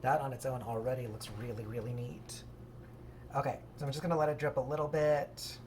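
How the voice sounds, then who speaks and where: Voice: medium pitch, fairly even intonation, fairly quick, crowd noise. A person giving a casual, unscripted how-to narration as a home baker, in a chatty, rambling, hedging way. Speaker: home baker hosting a craft project video. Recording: clearly noisy home recording.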